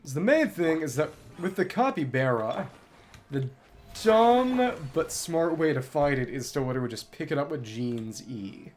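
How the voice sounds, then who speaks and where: A man's voice: a little high, lively intonation, moderate pace. A man doing live gameplay commentary as a streamer, talking analytically through tactics to himself. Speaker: game streamer. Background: music behind the voice.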